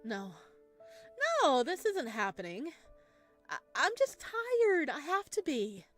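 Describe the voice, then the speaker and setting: Woman acting a frightened, halting horror heroine, pitched up. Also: big pitch swings, fairly bright tone, exaggerated voice. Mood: panicked denial. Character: frightened heroine of a horror visual novel, in denial. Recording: music behind the voice.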